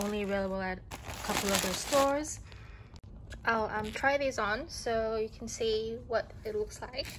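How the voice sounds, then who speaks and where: A woman vlogger in a casual voice-over, showing her clothing purchases, talking slowly with many ums and restarts. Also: on the high side, noisy background. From Australia.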